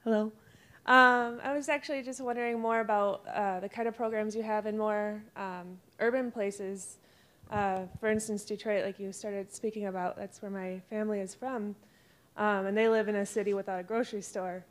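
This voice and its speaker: A woman's voice: medium pitch, fairly even intonation, normal speed, a bit bright. An American woman in an audience asking a casual question, hesitantly searching for her words.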